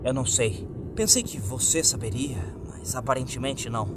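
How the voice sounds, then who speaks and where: A man's voice: medium pitch, normal speed, far from the mic, noisy background, natural voice. A man playing an anxious young woman searching for her missing boyfriend, tense and dramatic, in a horror story reading. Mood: worried, determined. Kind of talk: creepypasta audio narration.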